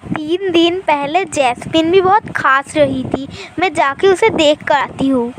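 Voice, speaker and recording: High-pitched, anxious cartoon mother, acted by a woman. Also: a little slow, a little hiss or hum, rough recording. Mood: worried. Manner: worried, fretful. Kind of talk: animated moral story dialogue.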